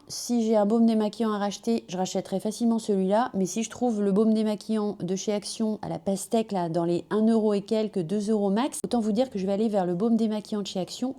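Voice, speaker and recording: A woman from France, a beauty vlogger, chatting casually about finished products at home, slightly hoarse from a cold, with lots of fillers and self-corrections, on a small clip-on mic. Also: mid-range voice, dark tone. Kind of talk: casual product review chat. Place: at home with a machine running nearby. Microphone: small magnetic clip-on mic.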